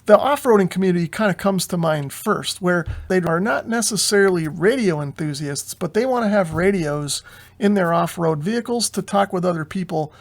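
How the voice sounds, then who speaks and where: An American man hosting an informal explainer, talking in a measured, explanatory way as a hobbyist giving his own take. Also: high-pitched, lively intonation. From United States. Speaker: video host, amateur radio hobbyist. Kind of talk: informal explainer commentary on radio news.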